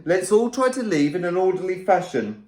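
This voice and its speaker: A man voicing a sensible adult male boxer dog who shouts urgent orders in an echoey room. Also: sits high, expressive, normal speed, voice pushed up. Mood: commanding.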